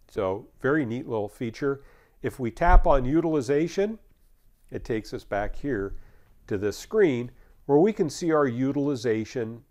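American man, fifties, medium-pitched voice, technical trainer, conversational, step-by-step instructional demo. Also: darkish tone. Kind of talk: instructional software demonstration.

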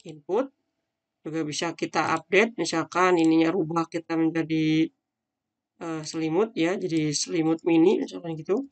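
A man's voice: fairly high pitch, steady tone, moderate pace. A man teaching a screen-recorded coding tutorial, talking casually and thinking aloud with frequent fillers as he demonstrates. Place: at a computer, voice-over on screen recording.

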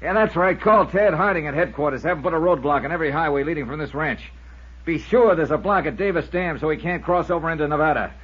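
An actor playing a tough, level-headed investigator, firm and clipped, in a 1950s radio drama. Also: male voice, fairly high pitch, fast talker, slight background noise, natural voice. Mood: brisk, commanding. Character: tough, level-headed male investigator telling his own case. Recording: muffled 1950s radio recording with music.

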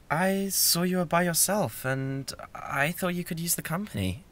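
A man with a British accent acting a bratty, obsessive young classmate in an ASMR roleplay, stammering and intense, shifting between soft pleading and outbursts. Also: on the high side, slow. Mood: pleading, insistent. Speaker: audio roleplay performer.

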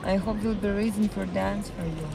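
A woman with a Ukrainian accent, live-streaming from a city park and chatting with her viewers in a halting way, often searching for words. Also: medium pitch, fairly even intonation, vocal fry, noisy background. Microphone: phone.

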